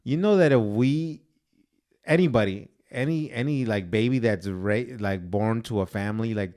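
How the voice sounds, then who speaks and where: A man's voice: on the low side, normal speed, darkish tone. Joking young male podcast co-host. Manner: joking, teasing, mixes in Spanish words. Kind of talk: casual chat.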